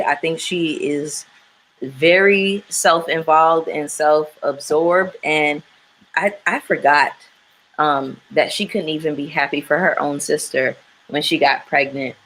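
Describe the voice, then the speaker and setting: A woman's voice: sits low, a bit bright, slight background noise, low-quality recording. A woman chatting frankly and with strong opinions about a reality show, with many fillers. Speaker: panelist.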